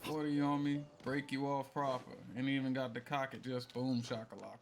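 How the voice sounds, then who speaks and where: A man's voice: medium pitch, flat delivery, moderate pace, gravelly, slight background noise. A man streaming a video game with casual, slangy commentary, musing aloud playfully. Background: game music.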